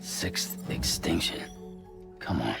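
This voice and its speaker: An actor playing a terse, skeptical courier in a game cutscene, speaking in a curt, blunt way. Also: male voice, slow, music in the background, natural voice. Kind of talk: video game cutscene acting. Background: loud game score.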